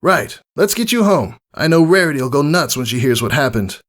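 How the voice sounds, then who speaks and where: A man's voice: on the high side, big pitch swings, normal speed, fairly bright tone, voice pushed up. A man voicing a brash, cocky young female pegasus pony with casual, slangy talk in a storybook reading. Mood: cool, confident. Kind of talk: character voice in fan fiction reading.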